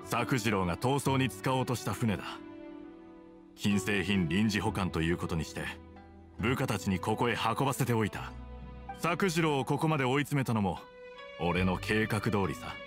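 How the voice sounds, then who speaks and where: An actor playing a stern, conflicted soldier with hidden kindness, speaking in a measured, blunt way in a video game dub. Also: male voice, on the low side, lively intonation, over music, natural voice. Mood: wry, resigned. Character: stern, conflicted male soldier, weary but secretly kind. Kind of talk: Japanese game dub acting.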